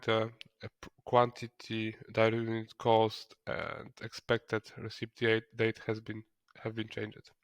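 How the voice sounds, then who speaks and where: Guy doing a software tutorial, kinda hesitant, repeats words, medium pitch, dry sound. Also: dry recording. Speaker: software trainer. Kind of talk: screen-recorded software tutorial, explaining step by step.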